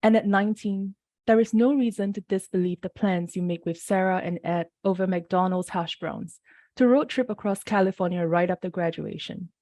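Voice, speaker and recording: A woman from Singapore reading her poems aloud and briefly introducing each one, sounding a little rough from the early hour. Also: medium pitch, warm tone, no room sound. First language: Mandarin. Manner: measured, unhurried reading with brief spoken introductions. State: slightly off-sounding early-morning voice. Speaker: poet reading her work. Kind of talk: poetry reading.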